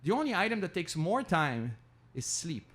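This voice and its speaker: A middle-aged man from Brazil, an economics professor and founder, answering interview questions in an animated way, with rhetorical asides and frequent restarts. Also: fairly high pitch, normal speed.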